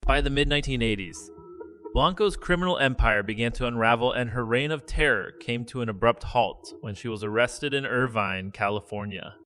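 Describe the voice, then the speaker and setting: Bright-voiced male news narrator. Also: mid-range voice, moderate pace, dry recording.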